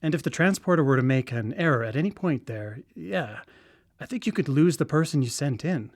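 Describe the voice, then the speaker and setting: Skeptical woman scientist, very low pitch, dry sound, wry, conversational, radio drama. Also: lively intonation, a little fast, dry recording. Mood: skeptical, musing. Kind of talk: live radio drama acting.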